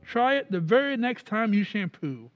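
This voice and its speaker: A man's voice: high voice, big pitch swings, normal speed, muffled recording. A man playing an upbeat, polished 1940s radio announcer, selling with enthusiasm.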